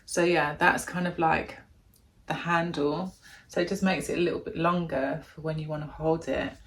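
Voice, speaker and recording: A woman with a deep voice, chatting casually and gushing as she unboxes a gadget in a vlog at home. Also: steady tone, moderate pace. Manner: gushing, chatty, thinking aloud. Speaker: vlogger.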